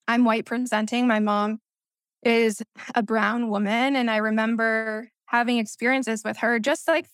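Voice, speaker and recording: A woman's voice: fairly high pitch, dry recording. A woman from the United States whose first language is Spanish, talking as a guest in a podcast conversation, earnest and reflective, often saying "like". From United States (Missouri).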